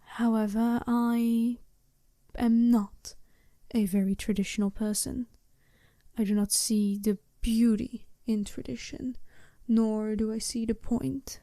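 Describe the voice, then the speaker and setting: A smooth, seductive female werewolf bride, acted by a woman in a soft-spoken ASMR roleplay. Her voice sits fairly high yet stays mellow, and she talks in polite, formal, businesslike phrases. The sound is dry and close. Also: flat delivery, no room sound. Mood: frank, measured. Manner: polite, measured, formal wording.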